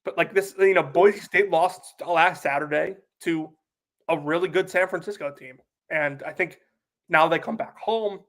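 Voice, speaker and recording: A man's voice: fairly high pitch, measured pace, a bit bright. A sick young man co-hosting a casual sports podcast, chatty and full of "like" fillers. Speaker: podcast co-host.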